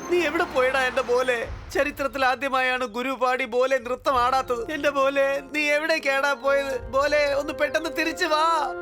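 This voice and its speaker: Fretful, affectionate cartoon mentor played by an actor, high pitch, exclamatory, over clear background music. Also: fairly even intonation, normal speed, few pauses, lightly acted. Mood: anxious, pleading. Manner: doting, emotional, exclamatory. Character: Guru, fond, fretful mentor to a young sidekick. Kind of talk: exaggerated children's cartoon dubbing. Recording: music behind the voice.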